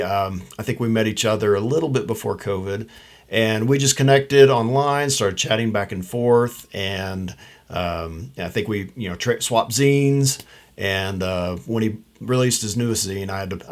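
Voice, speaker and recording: Man in his 30s, lowish voice, relaxed friendly host at a home studio mic. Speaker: host, photography channel. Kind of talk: interview host, casual chat. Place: home office. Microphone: studio mic in front of the speaker.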